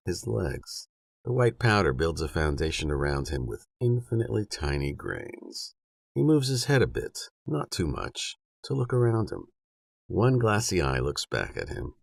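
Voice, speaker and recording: A man reads literary western fiction as an audiobook narrator, with a very deep, gravelly voice. His delivery is measured and dramatic, with lively rises and falls in pitch, over faint music. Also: dry recording.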